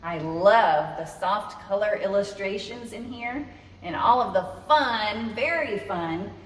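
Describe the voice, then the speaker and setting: A woman's voice in a roomy, echoing space, picked up from a distance with some noise. She reads aloud for children as a storytime host, with very lively swings in pitch. She addresses her young listeners directly and expressively. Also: slow.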